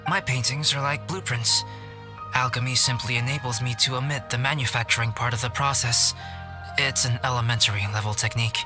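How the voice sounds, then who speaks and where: A man voicing a polite, detached young alchemist in an anime video game, speaking in a measured, formal way. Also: mid-range voice, steady tone, music in the background, natural voice. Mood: explanatory.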